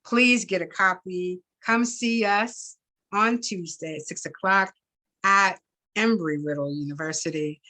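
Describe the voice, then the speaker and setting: A woman reading fiction aloud as a host, expressively and deliberately, sometimes repeating words. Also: on the low side, bright, forceful tone. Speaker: reader and event host.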